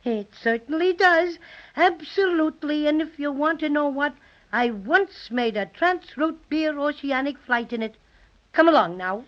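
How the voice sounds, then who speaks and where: Tiny toy teddy bear, high voice, brisk kindly guide, muffled vintage radio drama. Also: female voice, expressive. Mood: proudly assured. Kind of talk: 1930s radio acting. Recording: muffled noisy 1930s radio recording.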